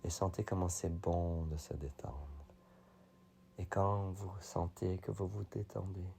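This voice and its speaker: A man with a very low, mellow voice leads a guided relaxation. He speaks softly and soothingly, sometimes close to a whisper, with a crackle of fry at the edges. His phrases repeat gently, with long pauses between them. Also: steady tone. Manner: soothing, soft, often breathy near whisper. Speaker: yoga nidra guide.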